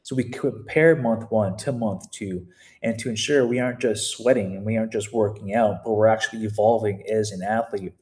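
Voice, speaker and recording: A man's voice: lowish voice, fairly even intonation, moderate pace, a little mellow. A man from Canada with a Canadian accent, a fitness coach giving an unscripted video walkthrough in a conversational, digressive way, talking straight to his viewers.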